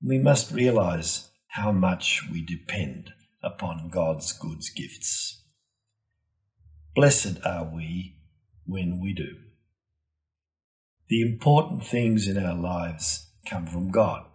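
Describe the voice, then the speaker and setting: A man delivering a scripted, reflective homily in a measured, solemn and deliberate manner. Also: low voice, a little mellow. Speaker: preacher giving a Gospel reflection.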